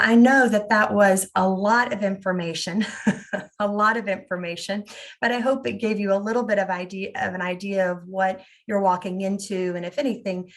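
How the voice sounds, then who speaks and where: Friendly woman hosting a webinar. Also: mid-range voice. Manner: friendly, many fillers and restarts. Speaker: scholarship director hosting a webinar. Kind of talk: informal webinar presentation and Q&A.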